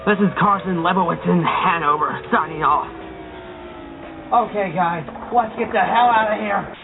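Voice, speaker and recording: A man's voice: high-pitched, normal speed, creaky, narrow, tinny audio, noisy background. A man playing a war correspondent filing a news field report in a radio drama. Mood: alarmed, urgent.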